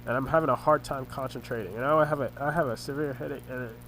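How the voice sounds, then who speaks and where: A man recounting family arguments in a rambling monologue, halting and often restarting his words, his voice congested and his throat sore. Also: mid-range voice, steady tone, fairly bright tone, slight background noise, low-quality recording. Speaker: vlogger recounting family conflicts. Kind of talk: rambling personal monologue over a screen recording.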